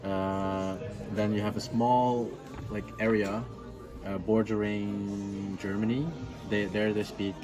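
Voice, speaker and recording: A man's voice: fairly low pitch, flat delivery, slow, over music, low-quality recording. A young man with a Flemish accent, chatting casually as a local guide, full of "like" and "uh" fillers. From Flemish part of Belgium. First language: Dutch. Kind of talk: casual explaining chat.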